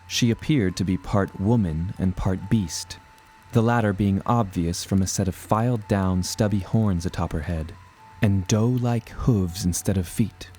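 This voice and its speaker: A man narrating a scripted first-person adventure story in a measured, dramatic way. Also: on the low side, warm tone, slight background noise.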